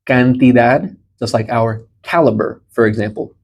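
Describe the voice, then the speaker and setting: A man's voice: medium pitch, moderate pace, darkish tone, husky. A man in his thirties with an American accent, explaining things in an informal, encouraging way as a language teacher in a small room. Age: 30s. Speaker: Spanish teacher. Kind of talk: informal group language lesson. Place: small indoor room beside a large monitor.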